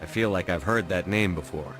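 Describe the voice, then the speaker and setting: An actor playing a calm, direct human military commander in a video game. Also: male voice, low voice, fairly quick, music in the background, natural voice. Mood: steady.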